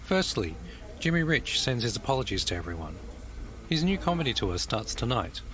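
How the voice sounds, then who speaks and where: An actor playing a kind, formal old-school gentleman who chairs a meeting politely in an audio drama. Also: medium pitch, big pitch swings, normal speed, slight background noise. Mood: genial, formal.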